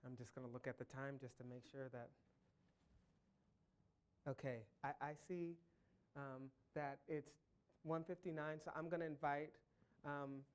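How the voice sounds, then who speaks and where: Male academic, public Q&A, measured and deliberate, mid-pitched. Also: steady tone, clear, resonant tone. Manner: measured, thinking aloud in long careful sentences. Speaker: award-winning professor answering audience questions. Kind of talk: public lecture Q&A.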